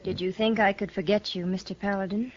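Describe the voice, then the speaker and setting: A woman's voice: medium pitch, fairly even intonation, fairly quick, close to an everyday voice. An American woman playing a proud, weary young widow in a radio western, guarded and full of wounded dignity. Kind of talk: 1950s radio western acting. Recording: muffled, slightly noisy old radio broadcast.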